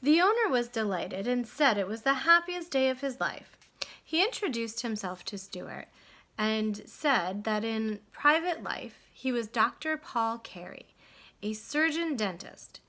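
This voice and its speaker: A woman narrates a children's storybook with dramatic, deliberate phrasing. Her voice is bright and fairly high, and she takes her time through each expressive line. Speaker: storybook reader.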